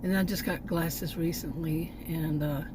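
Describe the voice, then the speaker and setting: A fairly low-voiced woman from Texas, speaking with a Texan accent, rambles on in a chatty vlog monologue, stopping to correct herself and filling gaps with "um" and "you know." She is recording outside near a stretch of woods, and steady outdoor noise runs under her voice the whole time. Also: unhurried. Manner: rambling, chatty, with frequent fillers and self-corrections. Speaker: channel host.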